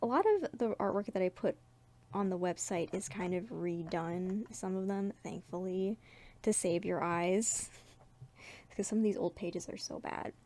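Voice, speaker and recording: A woman's voice: on the low side, measured pace, mellow. A woman chatting casually to viewers as a video creator, informal, giggly and self-deprecating. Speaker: webcomic artist and video creator.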